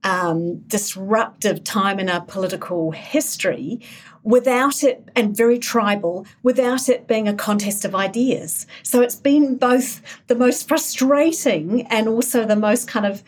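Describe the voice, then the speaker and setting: A woman from New Zealand, speaking as a guest on a political talk panel. She is animated and wry, and often restarts her sentences in loose, casual chat. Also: mid-range voice, unhurried.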